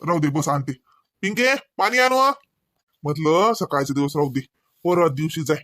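A man's voice: fairly high pitch, lively intonation, moderate pace, bright, forceful tone, no room sound. Coaxing village householder played by a man, dry sound. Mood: casual, hospitable. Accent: Varhadi Marathi, Vidarbha. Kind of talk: rural comedy cartoon dialogue.

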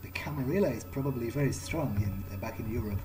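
A man's voice: mid-range voice, lively intonation, fast talker. A man musing in character as he plays a video game, speaking in a hesitant, thoughtful way. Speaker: gamer playing in character. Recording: faint background noise.

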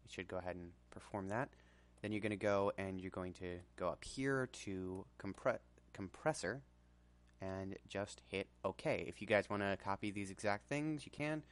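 Low-voiced man giving a casual tutorial. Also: normal speed, clean tone. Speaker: tutorial presenter. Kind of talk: casual screen-recorded software tutorial.

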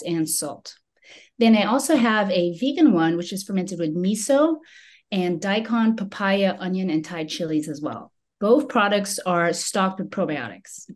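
A woman's voice: medium pitch, a little mellow. A woman from Belgium who owns a small food business, giving a prepared presentation on a video call. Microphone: video call microphone.

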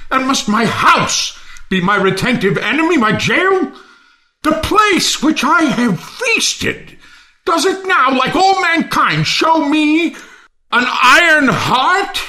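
A man playing an enraged, half-mad, ruined lord in a reading of a Shakespeare play, declaiming with drawn-out emphasis. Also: very high-pitched, bright, forceful tone, heavily acted.

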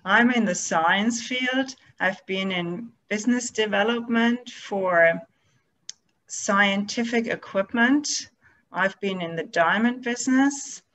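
Woman artist with a mid-pitched voice. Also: a bit bright, rough recording. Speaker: guest artist, photographer. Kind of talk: answering questions in a casual Q&A. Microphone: video call.

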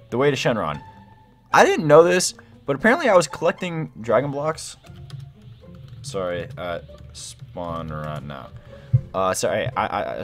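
A young man talks through a game in a slightly low voice that jumps around a lot in pitch. He is excitable and slangy, thinking out loud as he plays. Faint music runs behind his casual commentary. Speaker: gaming video host.

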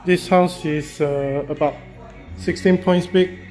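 A man, a real estate agent walking through a property and talking casually, halting and repeating himself with many fillers as he goes. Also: a little high, steady tone, darkish tone, soft background music. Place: walking through a house and yard, in and out of doors.

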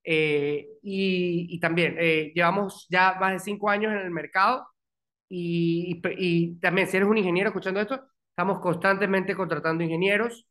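A man's voice: high-pitched, fairly even intonation, normal speed, a bit bright. A man in his thirties hosting an interview over a video call, often restarting and repeating words. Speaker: podcast host. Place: small room at home, indoors.

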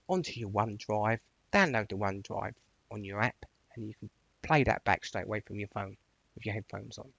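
A man giving a casual, rambling software tutorial in an informal, chatty way as a voice-over. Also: mid-range voice, vocal fry, husky, no room sound, lo-fi sound. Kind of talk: casual screen-share tutorial walkthrough.

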